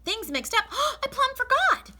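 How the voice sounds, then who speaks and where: A woman's voice: very high-pitched, big pitch swings, unhurried, pushed and bright, a little hiss or hum, voice pushed up, full character voice. A woman voicing a cheerful, literal-minded, scatterbrained housekeeper in a folksy, chatty way for a storybook. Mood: flustered.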